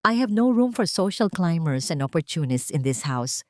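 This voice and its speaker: A woman's voice: low-pitched, very animated, normal speed, dry recording, clean studio sound. A woman voicing a haughty, elderly rich matriarch in an audio drama, speaking firmly with cold, commanding contempt. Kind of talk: audio drama villain dialogue.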